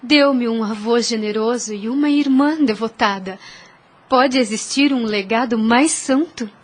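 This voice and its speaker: A woman playing a devout, self-sacrificing young woman in an audio drama, earnest and formal, gentle yet firm. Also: on the high side, measured pace. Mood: calm, resolute.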